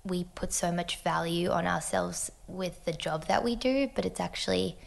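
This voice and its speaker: A young woman with an Australian accent tinged with American, talking casually as a podcast guest and often dropping to a soft near-whisper when reflective. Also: lowish voice, moderate pace, creaky. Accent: Australian with American touches. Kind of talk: casual chat.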